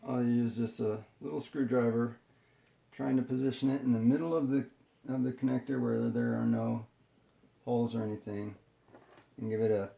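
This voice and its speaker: A man presenting an unscripted hands-on how-to, talking in a halting, step-by-step way. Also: medium pitch, vocal fry, dark tone, reverberant. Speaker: tutorial presenter.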